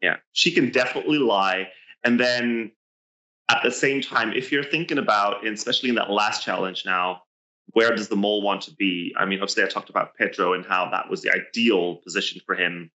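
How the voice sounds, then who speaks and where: A man's voice: fairly low pitch, fairly even intonation, brisk, pushed and bright, poor audio. A man co-hosting a podcast, talking casually and hesitantly, often restarting his sentences. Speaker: podcast co-host. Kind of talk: casual chat about a reality show.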